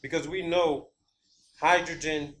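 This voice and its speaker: Halting, emphatic man over background noise. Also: on the high side, fairly even intonation, a bit bright. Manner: halting, emphatic, repeats key words. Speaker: video channel host. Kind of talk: rambling unscripted monologue explaining personal theories. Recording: clear background ambient noise.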